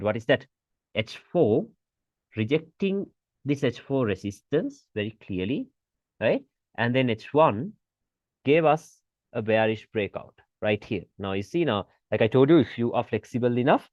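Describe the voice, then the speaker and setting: A man's voice: mid-range voice, no echo, highs cut off. A man giving an informal screen-share tutorial as an instructor, repeating his points and often checking understanding with rhetorical questions. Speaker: forex trading instructor.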